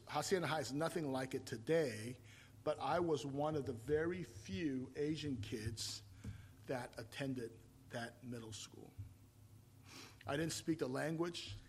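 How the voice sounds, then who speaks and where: A man in his fifties, from Taiwan, preaching a sermon and telling his own story, rising to loud emphatic points and falling back to quiet, reflective storytelling. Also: fairly high pitch, slightly rough. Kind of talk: sermon with personal testimony.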